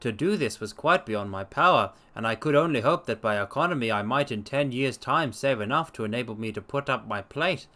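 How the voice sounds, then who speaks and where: A man's voice: mid-range voice, expressive, sharp tone. Man reading as an earnest, formal Victorian doctor. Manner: formal, measured, precise. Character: earnest, modest young male Victorian doctor telling his history.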